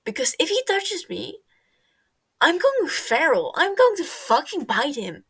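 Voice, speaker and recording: A woman's voice: sits high, wildly swooping pitch, unhurried, a bit bright, voice pushed up, exaggerated voice. A woman voicing a brash, foul-mouthed sixteen-year-old boy in an audio story, blustering and tripping over words. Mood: defiant, furious. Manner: swearing, blustering, stumbling over words in agitation.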